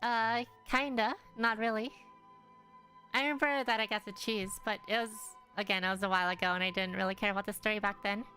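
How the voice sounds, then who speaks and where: A woman's voice: mid-range voice, fairly even intonation, brisk, sharp tone, faint music behind, low-quality recording. A young woman streaming a game, thinking aloud in a rambling, hesitant way with lots of fillers. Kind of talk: casual gameplay commentary.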